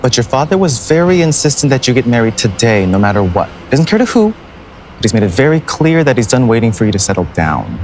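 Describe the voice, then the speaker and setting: A man's voice: medium pitch, very animated, fast, darkish tone, slight background noise, low-quality recording. A man acting an anxious, deferential assistant, speaking hesitantly in a scripted drama. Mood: nervous.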